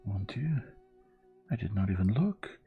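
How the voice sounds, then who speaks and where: A man voicing a sly, excitable young French lady's maid in an audiobook, with a French accent and an emphatic, pleading manner. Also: on the low side, unhurried, narrow, tinny audio, soft background music, voice pushed up. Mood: startled. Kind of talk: audiobook character voice.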